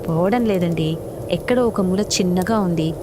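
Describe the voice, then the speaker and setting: A worried pigeon wife from a bird cartoon, voiced by a woman. She speaks hesitantly and obediently, with clear music underneath. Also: lowish voice, moderate pace, close to an everyday voice. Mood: doubtful. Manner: hesitant, deferential.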